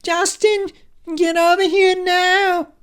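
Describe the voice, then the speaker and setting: A man voicing a shrill, panicky and impatient female receptionist in an audiobook, shrieking melodramatically. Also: flat delivery, slow pace, a bit bright, heavily acted. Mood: panicked.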